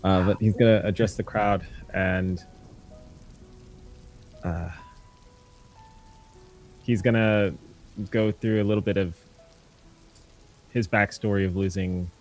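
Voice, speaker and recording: Man player, very low voice, casual halting narration. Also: steady tone, darkish tone, faint music behind. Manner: halting, with fillers and jokes. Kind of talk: describing his character's actions.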